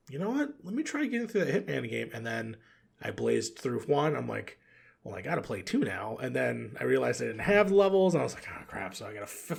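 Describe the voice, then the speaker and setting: A man chatting casually as a podcast guest, telling rambling stories in slangy language with a gravelly, husky voice. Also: medium pitch. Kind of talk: casual gaming chat.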